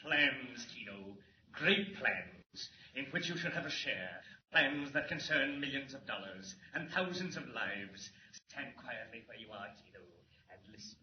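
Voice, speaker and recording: An actor playing a cold, commanding criminal mastermind in a 1940s radio serial, speaking in a measured, imperious, theatrical way. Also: male voice, medium pitch, lively intonation, lightly acted. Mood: grandiose, scheming. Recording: old muffled radio recording, roomy echo, hiss.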